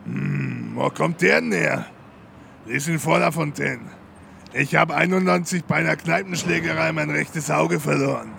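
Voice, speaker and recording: A man playing a crude, cocky police detective in a comedic audio drama, with a drawn-out, theatrical delivery. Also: fairly high pitch, vocal fry, pushed and bright, a little hiss or hum, full character voice. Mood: gruff, musing.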